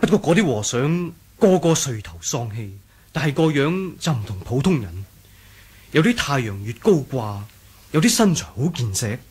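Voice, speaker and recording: Husky, animated Cantonese rebel fighter. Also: male voice, medium pitch, moderate pace. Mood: earnest, watchful. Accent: Hong Kong Cantonese. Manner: earnest, vivid storytelling. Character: loyal, watchful rebel fighter giving a report. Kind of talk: 1980s radio drama acting.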